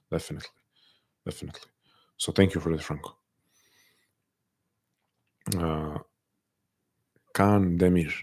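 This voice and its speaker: Very deep, flat-voiced man with a non-native accent. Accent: non-native English, often taken for Russian. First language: not English. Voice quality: soft-spoken, hesitant, many restarts. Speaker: livestream host answering chat. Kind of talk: casual live chat.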